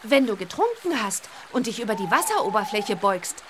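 A woman's voice: mid-range voice, big pitch swings, normal speed, background noise, natural voice. A woman playing a cheerful, confident young witch girl in a children's audio drama, bossy and playful.

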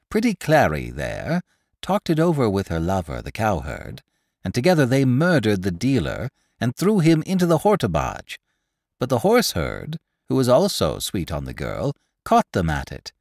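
A man's voice: mid-range voice, no room sound, clean studio sound, pitched above the performer's own voice. A man voicing a stout, gossipy older woman shopkeeper in an audiobook, chattering in a breathless, exclamatory way. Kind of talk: audiobook character reading.

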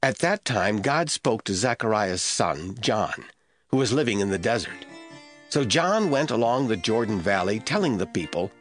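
A man giving measured, scripted Bible narration in a storytelling manner. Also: fairly low pitch, very animated, soft background music.

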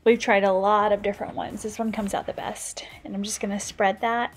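A woman's voice: mid-range voice, level tone, fairly quick, faint music behind. A woman in her thirties, a stay-at-home mom, giving a casual, practical voice-over as she cooks and shares kitchen tips. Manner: chatty, practical, explains tips as she goes.

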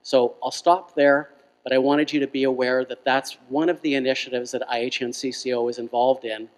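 Male, middle-aged, medium pitch. Doctor addressing an audience, earnest and explanatory, long hall reverb on a handheld mic. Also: little pitch movement, a little fast. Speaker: physician speaking as health plan medical officer. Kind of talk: public health presentation to an audience. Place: indoor function room at a lectern. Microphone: handheld microphone through room.

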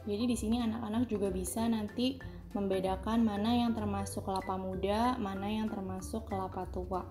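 A woman's voice: medium pitch, steady tone, brisk. A woman giving a casual vlog voice-over as she narrates a family outing. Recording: music behind the voice. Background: clear background music.

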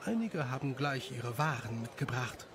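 A man reading scripted story narration as the narrator, with a creaky voice. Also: medium pitch, lively intonation, normal speed, crowd noise.

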